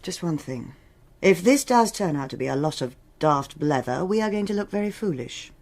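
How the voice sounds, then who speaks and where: An actress playing a brisk, sceptical senior policewoman with an authoritative manner in a radio crime drama. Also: deep voice, lively intonation, a little mellow. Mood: commanding, brisk. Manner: crisp, authoritative, dry. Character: brisk, sceptical, commanding female police superintendent.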